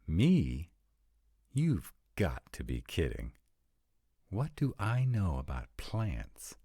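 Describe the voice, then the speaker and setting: A very deep, dark-toned male vampire, acted by a man for a roleplay audio drama. He is sarcastic and grudgingly devoted, with lively swings in his low voice and a dry, reluctant delivery. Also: slow, breathy edge, no echo, full character voice. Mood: incredulous. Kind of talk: scripted roleplay audio drama, one side of a conversation.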